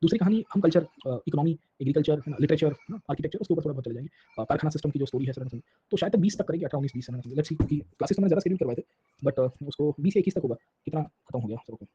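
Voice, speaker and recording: A man's voice: fairly high pitch, expressive, racing, mellow, lo-fi sound, a little hiss or hum. A man with an Indian accent giving an informal, colloquial history lecture, mixing Hindi and English and often checking in with short tags addressed to his listeners as sir. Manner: colloquial, illustrating points with little acted anecdotes.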